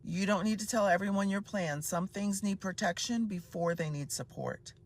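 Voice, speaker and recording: A speaker in their fifties, heard in a played clip, delivering a steady monologue of short life maxims. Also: mid-range voice, normal speed, a little hiss or hum. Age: 50s. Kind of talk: measured advice monologue.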